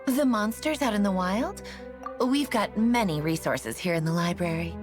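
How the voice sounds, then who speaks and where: Woman playing a relaxed, coy librarian mage. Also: mid-range voice, moderate pace, natural voice. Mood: knowing. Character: languid, teasing adult female librarian mage. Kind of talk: video game voice acting. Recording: loud game music underneath.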